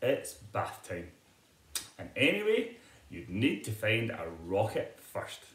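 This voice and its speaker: A man's voice: lowish voice, wildly swooping pitch, takes it slowly, fairly bright tone, echoey room, pitched below the performer's own voice, full character voice. A man voicing a firm but fond mother bear in a children's storybook.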